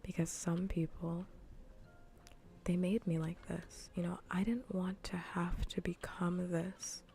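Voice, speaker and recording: A woman livestreamer chatting casually in ASMR style while gaming, soft, breathy and often whispering, with a playfully scolding manner. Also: fairly low pitch, flat delivery, slow pace, faint music behind.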